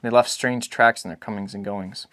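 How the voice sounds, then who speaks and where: A man's voice: lowish voice, fairly even intonation, moderate pace, fairly bright tone, no echo, clean studio sound. A man narrating a literary novel as a dramatic audiobook reading.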